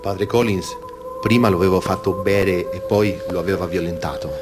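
A man's voice: deep voice, steady tone, normal speed, music in the background, natural voice. A man reading scripted testimony and narration in speech for a documentary radio play. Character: male and female witnesses recounting abuse by priests.

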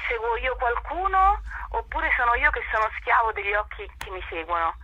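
A woman's voice: a little high, expressive, moderate pace, bright, forceful tone, breathy edge, no echo, rough recording. A woman, an actress explaining her ideas reflectively as a radio interview guest, often posing rhetorical questions.

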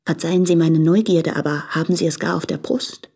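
Breathy, candid proud young woman. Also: low-pitched, fairly even intonation, brisk, dark tone, full character voice. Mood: weary, probing. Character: proud, impoverished young woman translator in Vienna, candid, restless. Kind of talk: German radio play, reading letters aloud.

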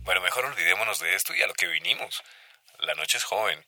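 Very bright-voiced eager male lover. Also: sits low, animated, quick pace, no room sound, faint music behind, heavily acted. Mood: tender. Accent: Latin American. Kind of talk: radio drama acting.

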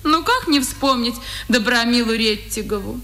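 An actress playing a tender, spirited wife in a theatrical, emotional 1950s radio drama style. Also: female voice, a little high, moderate pace, pushed and bright. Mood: playful, girlish. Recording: old radio play recording with music.

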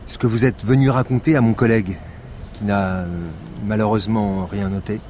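An actor playing a weary, sardonic police lieutenant with dry, deadpan delivery in a naturalistic fiction podcast. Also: male voice, fairly low pitch, normal speed, a little hiss or hum, close to an everyday voice. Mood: sarcastic, impatient. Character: weary sardonic male police lieutenant, coffee-fuelled pessimist.

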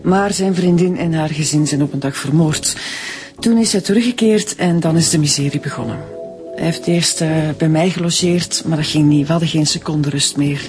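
A woman's voice: deep voice, moderate pace, over music, lightly acted. An actress playing a guarded, worried woman in a radio drama, speaking hesitantly with a Flemish accent. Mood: guarded, sad. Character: guarded, worried sister questioned by police.

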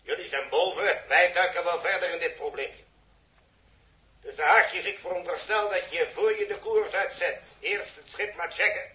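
High, sharp-voiced officious mission controller. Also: male voice, moderate pace. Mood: brisk, businesslike. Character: officious male mission controller, brisk and unyielding. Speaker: ground control engineer. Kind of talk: 1960s Dutch radio drama acting. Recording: echoey old radio drama recording.

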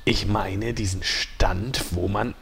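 A man playing a greedy, shady thief in a parody audio drama, muttering slyly in a drawn-out way. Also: fairly low pitch, fairly even intonation, gravelly, fairly bright tone, slightly rough, exaggerated voice. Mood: scheming.

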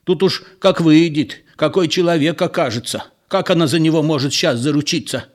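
A man voicing a gruff, sensible old village man who grumbles at the others. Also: on the high side, brisk, voice pushed up, close to an everyday voice. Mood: reasoning.

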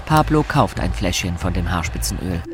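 A man's voice: sits low, animated, normal speed, soft background music. A man narrating a literary radio drama from a script.

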